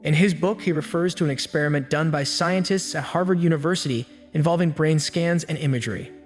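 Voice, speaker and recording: A man giving scripted self-help narration with an even, steady storytelling delivery. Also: a little high, brisk. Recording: long hall reverb with faint music. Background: faint background music.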